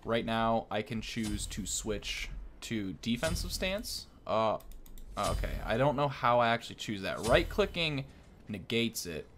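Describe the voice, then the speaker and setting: A man's voice: medium pitch, very animated, measured pace, fairly bright tone, slight background noise. A man hosting a gaming video with casual, off-the-cuff gameplay commentary.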